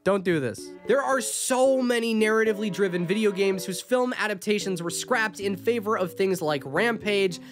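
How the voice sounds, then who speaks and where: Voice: mid-range voice, moderate pace, few pauses, over music. A narrator delivering a scripted video essay in an opinionated, sarcastic, emphatic way.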